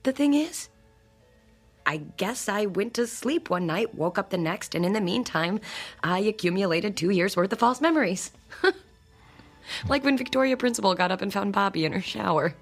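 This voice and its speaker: Anxious, breathy radio drama wife. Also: female voice, medium pitch, lively intonation, soft background music. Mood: anxious, bewildered. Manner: anxious, halting, confessional. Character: distraught married woman confiding a strange experience to psychiatrist.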